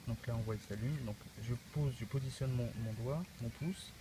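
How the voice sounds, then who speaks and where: A man's voice: mid-range voice, normal speed, background noise. A man with a cold giving a casual, hesitant unboxing walkthrough as a tech reviewer, with many filler sounds. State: has a cold.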